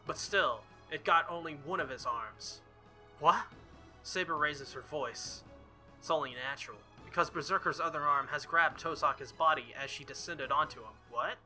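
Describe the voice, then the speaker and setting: A man's voice: on the high side, moderate pace, sharp tone, soft background music. A man doing a visual novel playthrough, reading the story aloud dramatically and dropping in casual asides. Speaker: let's player.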